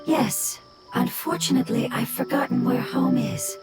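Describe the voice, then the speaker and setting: A woman voicing a gentle, forgetful old fungus elder in a soft, breathy half-whisper. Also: high voice, normal speed, close to an everyday voice. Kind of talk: video game voice acting. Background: game music.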